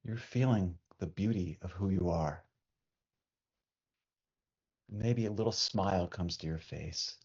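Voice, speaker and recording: Low-voiced man guiding meditation, muffled sound. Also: darkish tone, breathy edge. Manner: soothing, unhurried, with long pauses. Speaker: meditation teacher. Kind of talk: guided meditation.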